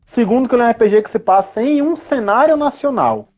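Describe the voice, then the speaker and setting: A man's voice: extremely high pitch, expressive, fast talker, a little mellow. A man with a Brazilian accent speaking as a guest expert in a casual live chat, explaining didactically with frequent tag questions. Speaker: guest expert on tabletop role-playing games.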